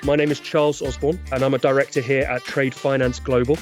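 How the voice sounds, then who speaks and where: A man's voice: medium pitch, little pitch movement, brisk, soft background music. A middle-aged man, polite and professional, interviewing a guest as a podcast host.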